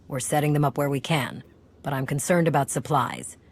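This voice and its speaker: A brisk army sergeant from a video game, with a notably low voice. She reports in a crisp, dutiful manner. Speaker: soldier. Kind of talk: video game cutscene acting.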